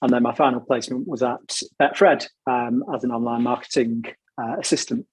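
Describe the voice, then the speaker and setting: A man speaks as a panel guest, his voice husky and worn from a sore throat. He rambles casually through his working life in a chatty, storytelling way. The sound is dry and close, with no room around it. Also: mid-range voice, fairly even intonation, moderate pace, no room sound, rough recording. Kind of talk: casual career talk.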